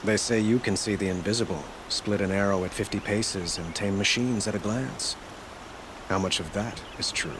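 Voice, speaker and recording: Guy playing a gentle regal young king in a game, lowish voice, formal and courteous, noisy ambience behind. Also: normal speed, natural voice. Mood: gracious, wry.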